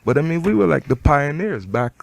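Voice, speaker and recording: A man with a Barbadian-Canadian accent, a rap artist talking in a radio studio interview, animated and emphatic, with frequent restarts. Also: fairly high pitch, fairly quick, creaky. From Barbados, raised in Canada.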